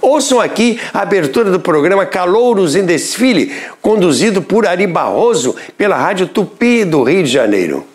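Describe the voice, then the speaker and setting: Man, scripted documentary narration, expressive, rising and falling pitch. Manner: measured, storytelling delivery with lively pitch.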